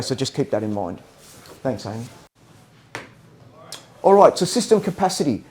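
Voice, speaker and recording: A man presenting technical product training in a halting way, often stopping to check that listeners follow. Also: on the high side, a little mellow.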